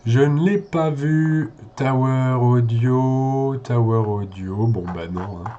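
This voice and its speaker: A man's voice: mid-range voice, slow pace, mellow, lo-fi sound, a little hiss or hum. A man giving an unscripted screen-share commentary as a consultant, thinking aloud in a conversational, hesitant way with frequent check-in tags. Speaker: SEO consultant presenting a website audit.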